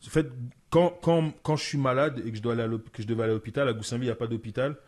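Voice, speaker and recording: A man from France, a comedian guest, telling stories in a casual podcast chat with lots of quick restarts. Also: mid-range voice. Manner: animated storytelling, frequent stammered restarts.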